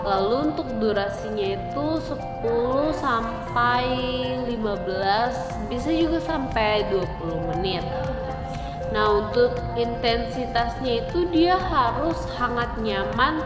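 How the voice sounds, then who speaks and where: A young woman gives a halting, instructional clinical demonstration, explaining steps to a patient and viewers. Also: fairly high pitch, lively intonation. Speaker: physiotherapy student demonstrating a treatment. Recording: very echoey room, music behind.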